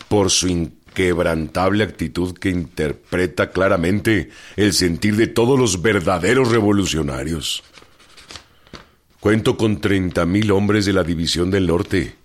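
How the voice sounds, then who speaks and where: A man playing a blunt, commanding revolutionary general in a radio drama, with deliberate, formal delivery. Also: sits low.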